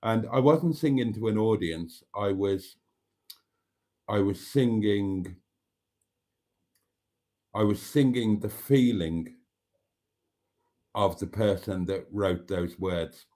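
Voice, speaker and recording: A man's voice: lowish voice, takes it slowly, long pauses, mellow. A Welsh man, a native Welsh speaker, hosting a live stream and musing in a meandering way, with many fillers and restarts. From Wales. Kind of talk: casual live stream chat.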